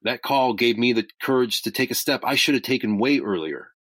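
A man playing an earnest, caring crisis hotline volunteer in an audio drama, speaking in a measured, hesitant, sincere way. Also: medium pitch, lively intonation, fairly bright tone, no room sound. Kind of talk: naturalistic two-person audio drama acting.

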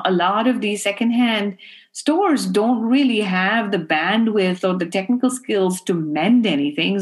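A middle-aged woman from Pakistan, a guest speaking earnestly and passionately in an interview, often saying "you know". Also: mid-range voice. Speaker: guest, fashion activist. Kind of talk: interview answers.

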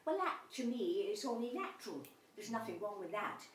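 A woman speaking at a middle pitch, animated and indignant, acting out quoted speech. She sounds far from the mic in a roomy space with a faint hiss behind her. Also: a little fast. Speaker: audience member. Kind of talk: group discussion. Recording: distant and roomy, faint background noise.

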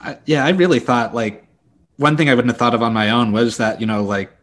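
A man speaks as a podcast co-host at a middling pitch. He talks through book news casually and thoughtfully, pausing and correcting himself as he goes. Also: fairly bright tone.

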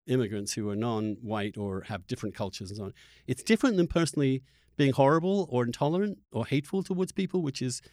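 A man's voice: fairly high pitch, a little mellow, dry recording, clean studio sound. A man from England hosting a conversational political discussion, speaking in a reflective, personal way and often repeating words as he works out a thought. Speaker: podcast host.

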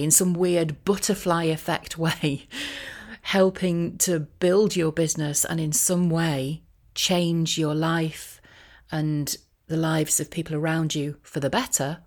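A woman talking casually in a rambling, self-deprecating monologue, with wry, gently ironic asides. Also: low-pitched. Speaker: voiceover artist and career coach sharing a personal update.

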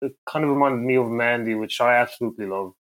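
A man's voice: mid-range voice, quick pace, fairly bright tone, low-quality recording. A man chatting casually as a podcast guest, giving his review in a conversational way.

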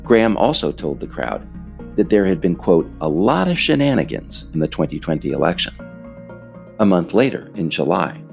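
An American man reading scripted political narration in a measured, emphatic, explanatory way. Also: sits low, gravelly, darkish tone. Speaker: author narrating his audiobook episode.

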